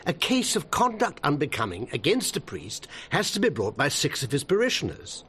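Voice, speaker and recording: An actor playing a prim, pedantic English prosecuting barrister in a radio drama, with a British accent, a husky voice and a fussy, exasperated manner. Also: male voice, fairly high pitch, wildly swooping pitch, moderate pace, fairly bright tone, a little hiss or hum. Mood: explaining, pointed.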